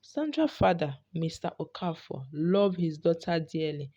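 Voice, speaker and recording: A woman's voice: fairly low pitch, sing-song, a little slow, dark tone, highs cut off. A woman telling a folktale as scripted narration, in the role of storyteller.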